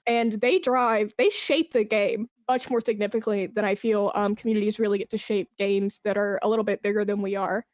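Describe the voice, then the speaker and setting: A woman's voice: mid-range voice, fairly even intonation, fairly quick, hardly any pauses, a little mellow, no echo, clean studio sound. A woman chatting casually as an interview guest, enthusiastic and informal, with some swearing. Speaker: podcast guest, esports brand manager.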